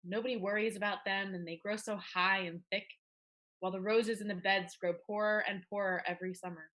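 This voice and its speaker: A rueful schoolgirl from an old stage play, read by a woman. The voice is bright and keen-edged at a middle pitch. The manner is defiant and frank. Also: steady tone, brisk, natural voice. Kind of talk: dramatic reading of a period play.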